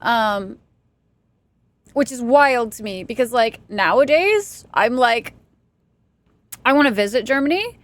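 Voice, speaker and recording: A young woman from Texas streaming a game, chatting casually with her viewers, self-deprecating and quick to laugh. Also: on the high side, expressive, no room sound. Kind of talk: casual chat with stream chat while gaming.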